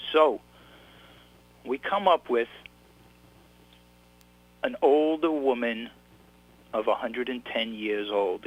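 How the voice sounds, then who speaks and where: A man's voice: medium pitch, fairly bright tone, rough recording. A man with a New York accent, an author telling his story in a casual interview, rambling and restarting his sentences. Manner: rambling, repeats words and restarts.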